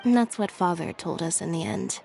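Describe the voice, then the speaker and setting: A woman playing a composed, devoted young woman in a video game, speaking calmly and deliberately. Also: on the low side, creaky, soft background music. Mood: calm, serious. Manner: calm, deliberate. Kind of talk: dramatic video game dialogue.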